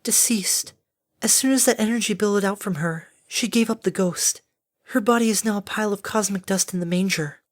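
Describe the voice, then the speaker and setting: A timid female cartoon-style pony, acted out by a woman narrator. Her voice sits high, with formal, careful wording. She is prim and anxious, fretting as she speaks. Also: fairly quick, natural voice. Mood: grave concern. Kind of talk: animated audiobook character acting.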